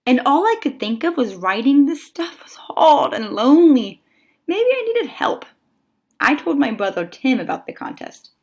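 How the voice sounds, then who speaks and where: A woman reads a children's story aloud in a lively, fairly high voice. Her pitch moves around a great deal, and she phrases deliberately, pausing for dramatic effect as a storyteller does. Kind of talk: expressive children's picture book read-aloud.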